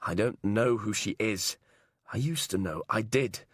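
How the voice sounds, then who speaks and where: A man's voice: on the low side, measured pace, slightly rough, no room sound. A man reading a whiny, self-pitying male inmate who puts on a fake posh accent. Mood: shaken. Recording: dry close studio sound.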